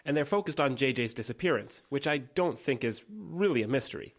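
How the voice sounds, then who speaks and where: An actor playing a guarded young man in an audio drama, calm and matter-of-fact. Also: mid-range voice, fairly quick.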